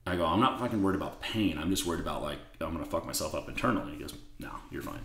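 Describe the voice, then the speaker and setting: Brief: deep raspy man, blunt, curses a lot, echoey room. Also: animated, very fast, a bit bright. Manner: blunt, swears freely, laughs easily. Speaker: podcast guest. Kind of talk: casual interview chat. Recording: roomy echo.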